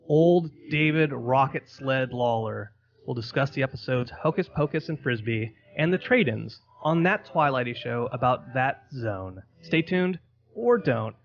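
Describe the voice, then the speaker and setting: Socal guy guesting on a podcast, deadpan and playful, kinda muffled lo-fi sound. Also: medium pitch, creaky. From Southern California. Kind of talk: comic banter with tongue-in-cheek tall tales. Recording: slightly dull, lo-fi audio.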